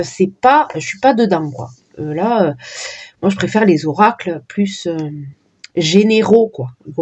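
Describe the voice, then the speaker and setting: A woman casually presenting her oracle card decks, talking in a hesitant way with many pauses and fillers. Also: medium pitch, a little mellow, breathy edge, dull audio. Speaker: card reader vlogger.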